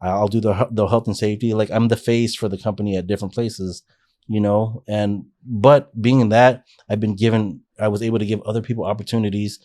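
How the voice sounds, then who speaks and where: Low-voiced man telling his story. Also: steady tone, fairly quick, dark tone. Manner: earnest, rambling with frequent restarts. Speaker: podcast guest. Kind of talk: casual life-story interview.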